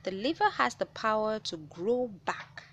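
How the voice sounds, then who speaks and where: A woman reads aloud from a children's science picture book at a slow, measured pace. Her voice sits at a middle pitch, with each phrase set out for young listeners. Some background noise runs under the recording. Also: big pitch swings, fairly bright tone. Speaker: children's read-aloud host.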